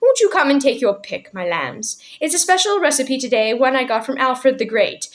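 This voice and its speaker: A woman voicing a tiny, ancient, shrill old shopkeeper who turns from soft menace to sweetness in a storybook reading. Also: animated, normal speed. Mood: sweet. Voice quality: shrill, shrieking.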